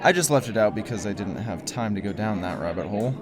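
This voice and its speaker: Guy doing a jumpy conspiracy theorist, halting, kind of secretive, audio drama, crowd noise behind. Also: fairly low pitch, animated, lightly acted. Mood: earnest. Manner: hesitant, halting delivery. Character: wary male conspiracy theorist, earnest and secretive. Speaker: lead character.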